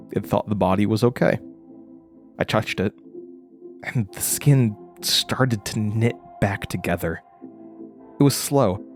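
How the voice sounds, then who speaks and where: An actor playing a haunted, frightened man recounting a horror ordeal in a halting, intense way, as in an audio drama. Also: fairly low pitch, natural voice. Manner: halting, stammering over words, intense. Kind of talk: first-person horror audio drama narration.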